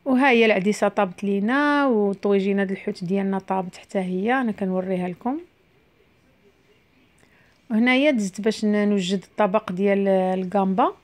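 Fast-talking woman with a Moroccan accent. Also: medium pitch. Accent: Moroccan Arabic. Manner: chatty, explains each step as she cooks. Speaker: cooking vlogger. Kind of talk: casual cooking voice-over.

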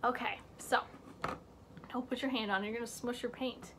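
Teen girl host, high voice, chatty and self-mocking, close computer mic, faint hiss behind. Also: fairly even intonation, normal speed. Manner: drops to hushed whispers while showing things up close. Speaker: vlog host. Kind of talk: casual chat. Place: indoor home room with shelves.

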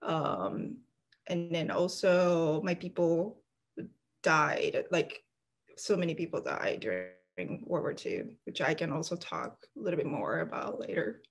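A young woman from Okinawa talks in English with a Japanese accent, pausing often and filling gaps with soft "um"s. She sounds like she is on a video call from a room at home. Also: sits low, animated, creaky, breathy edge. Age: young adult. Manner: thoughtful, hesitant, many fillers and light laughs. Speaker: guest, graduate student. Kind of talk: casual interview talk. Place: apartment room, indoors.

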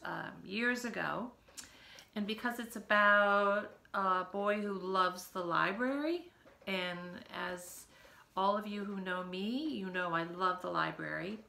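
A woman in her fifties reads a children's storybook in a mid-pitched voice with noticeable creak. Her delivery is expressive and aimed at young listeners, with conversational asides. She sounds like a teacher sharing a book from her living room. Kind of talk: storybook read-aloud with chatty asides to children. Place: living room at home, by a window.

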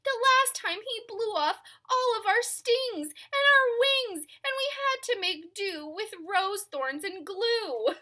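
A woman voicing a swarm of fussy bees in a storybook read-aloud, complaining in a squeaky high voice. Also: lively intonation, slow, few pauses, sharp tone, heavily acted. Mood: fussy complaint.

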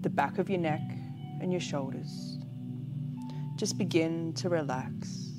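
A woman leads a guided relaxation meditation in a gentle, calm, unhurried way, leaving long pauses between phrases. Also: low voice. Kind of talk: guided pregnancy relaxation meditation, spoken slowly and soothingly. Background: background music.

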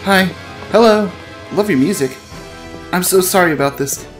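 A man's voice: high voice, moderate pace, soft background music, close to an everyday voice. A man voicing a self-pitying, sardonic young male pony in a cartoon comic dub. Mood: frantic, cheeky. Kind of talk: comic dub, dramatic monologue and dialogue.

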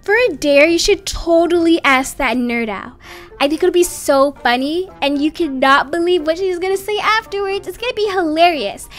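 Woman voicing a high-pitched mischievous schoolboy prankster. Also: moderate pace, faint music behind. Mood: gleeful, scheming. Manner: slangy, gleeful.

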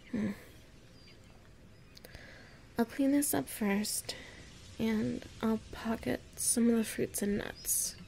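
A woman acting a clumsy, anxious young woman in an audio roleplay, murmuring and thinking aloud in a halting, breathy way. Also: on the high side, warm tone, slight background noise, heavily acted. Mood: worried musing.

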